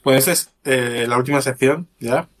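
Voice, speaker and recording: A man from Galicia co-hosting a podcast, speaking in a measured way as he introduces sections and steers the talk. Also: mid-range voice, fairly bright tone. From Galicia, Spain. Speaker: podcast co-host. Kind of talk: casual podcast chat.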